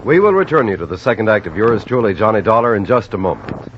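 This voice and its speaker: Male old-time network announcer, polished and lively, lo-fi. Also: lowish voice, fast, faint music behind. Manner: polished and promotional. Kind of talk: 1950s broadcast announcing. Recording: dull lo-fi old radio broadcast.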